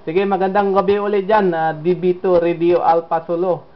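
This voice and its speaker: A man from the Philippines holds a relaxed ham radio contact in a slightly high, very flat voice. His talk is unhurried and full of small hesitations, and the audio sounds dull and lo-fi. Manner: steady and unhurried, with frequent fillers. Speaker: amateur radio operator.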